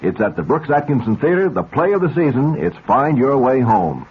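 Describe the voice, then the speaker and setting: A man's voice: mid-range voice, big pitch swings, normal speed, breathy edge. A man working as a radio station announcer, reading scripted sponsor credits and adverts in a 1970s broadcast style. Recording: tinny phone-like vintage radio broadcast.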